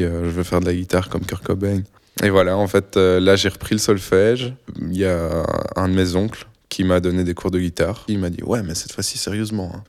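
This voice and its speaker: A young man from Belgium with a Belgian French accent, a musician answering interview questions casually, relaxed and hesitant with many fillers. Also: sits low.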